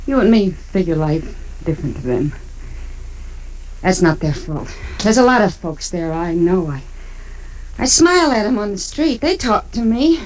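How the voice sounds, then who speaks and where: An American actress playing a forgiving, proud and wistful frontier woman in an old radio western, gentle and plainspoken. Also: medium pitch, sing-song, normal speed, natural voice. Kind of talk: 1950s radio western acting. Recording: noisy old radio recording.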